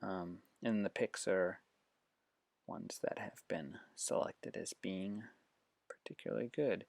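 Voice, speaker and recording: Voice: deep voice, slow pace, gravelly, a little mellow, no room sound. An educator giving an informal, explanatory demonstration with read-aloud passages. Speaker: educator demonstrating reading apps. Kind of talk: instructional screen demo with read-aloud passages.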